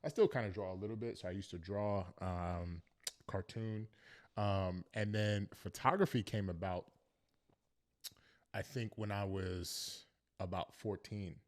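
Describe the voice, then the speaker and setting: Young man, from Northern Virginia, podcast guest, reflective, earnest, low-pitched voice. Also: fairly even intonation, a little slow, darkish tone. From Northern Virginia, United States. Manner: reflective, earnest, leans on "you know what I mean". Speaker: podcast guest, professional football player. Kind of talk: casual interview chat.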